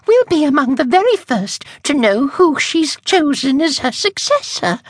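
A man reading aloud and voicing a gushing, sentimental lady witch in a very high voice. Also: sing-song, moderate pace, no room sound.

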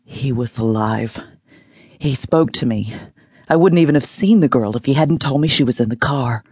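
A man's voice: mid-range voice, normal speed, a little mellow, breathy edge, dry recording, clean studio sound. An audiobook narrator voicing a shaken, stubborn male rescuer who speaks curtly and insistently. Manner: curt, insistent.

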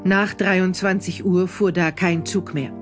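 A man with a fairly high voice reads scripted narration for a horror radio play. Faint music plays behind him. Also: steady tone, a little slow.

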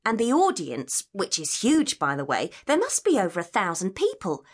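A woman's voice: mid-range voice, huge pitch swings, normal speed, slightly rough. A woman playing a curious, observant student in a scripted educational audio drama, speaking in an eager, inquisitive way. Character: curious, observant female student on a guided tour.

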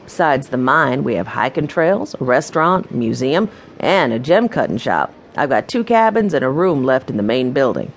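A woman's voice: extremely low pitch, sing-song, normal speed, few pauses, slight background noise, pitched below the performer's own voice, exaggerated voice. A woman voicing a friendly, businesslike man with a folksy, salesmanlike manner in a children's audiobook. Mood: welcoming, informative. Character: friendly businesslike man, owner of gem mine.

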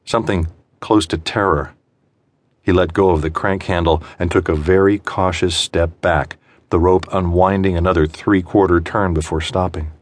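A man narrating suspense fiction as an audiobook, with measured, deliberate phrasing and dramatic pauses. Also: low-pitched.

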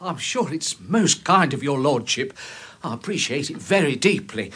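A man's voice: fairly high pitch, lively intonation, moderate pace, loud music behind the voice, natural voice. An actor playing a timid, fussy, deferential Englishman in a radio drama, stammering and obsequious, with an English accent. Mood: flustered, grateful. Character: timid, deferential, fussy little lower-middle-class Englishman. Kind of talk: full-cast BBC radio drama acting.

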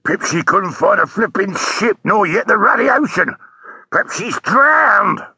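A man performs a working-class cabbie in a story reading. He is hoarse and gravelly, with a forceful edge. He grumbles and curses in slang, thoroughly fed up. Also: a little high, a little slow, no room sound, voice pushed up, exaggerated voice. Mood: in a passion. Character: irate, foul-mouthed working-class taxi driver, male. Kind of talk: audiobook character voice.

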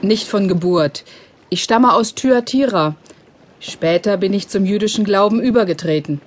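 A woman playing an earnest, dignified cloth merchant in a biblical audio drama, speaking formally and deliberately. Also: medium pitch, slight background noise, close to an everyday voice.

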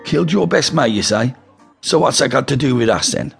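A man's voice: medium pitch, animated, fairly quick, creaky, faint music behind, voice pushed up. A man voicing a cold, probing male gangster with a coarse, swearing manner, in a storybook reading.

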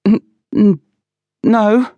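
A man voicing a frightened young servant boy who stammers haltingly in an audiobook. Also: sits high, fairly even intonation, no echo, dull audio, voice pushed up. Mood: terrified, stammering. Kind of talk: dramatised audiobook character voice.

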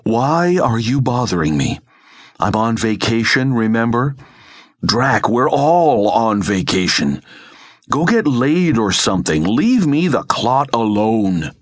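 A man's voice: medium pitch, huge pitch swings, slow pace, husky, dry recording, voice pushed up, heavily acted. A man voicing a brash, irritable woman in an audiobook, grumbling and exclaiming with scorn. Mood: irritated.

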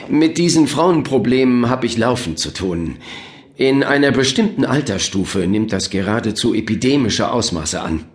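A man's voice: medium pitch, measured pace, close to an everyday voice. An actor playing a patronizing, glib and dismissive male doctor in a German radio drama, with a breezy manner. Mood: glib reassurance. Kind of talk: German audio drama acting. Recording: roomy echo, faint noise.

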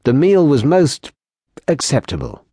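A genial, courtly army lieutenant, as a narrator plays him in an audiobook. He speaks formally in a husky voice pitched a little up. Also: lively intonation, measured pace, warm tone, no echo, studio quality, exaggerated voice.

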